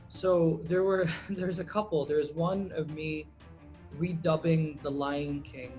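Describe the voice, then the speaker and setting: A man's voice: fairly high pitch, slow, faint music behind. Laid-back man, filmmaker guest. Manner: relaxed, rambling, many ums and uhs. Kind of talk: casual interview answers.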